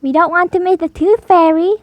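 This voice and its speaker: An eager little girl pig from a storybook, played by a woman reading aloud. The voice is very high, curious and full of exclamations and questions. The sound is slightly dull. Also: fairly even intonation, normal speed, no room sound.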